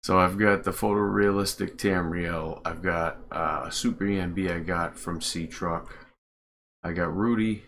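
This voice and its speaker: A man with a very deep voice talks casually to viewers of his game livestream. He takes his time, speaking slowly and informally as he thinks aloud at the computer. A faint layer of noise sits behind his voice. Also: fairly even intonation, a bit bright. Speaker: game streamer and modder. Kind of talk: casual livestream chat while working at a computer.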